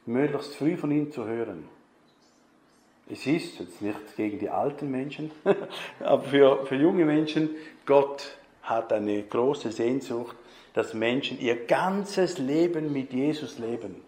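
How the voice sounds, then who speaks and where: A man preaches at an unhurried, deliberate pace, talking with his congregation and quizzing them as he goes. His voice rings out in an echoey church hall. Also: medium pitch, darkish tone. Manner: chatty, asks the congregation questions. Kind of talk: conversational sermon.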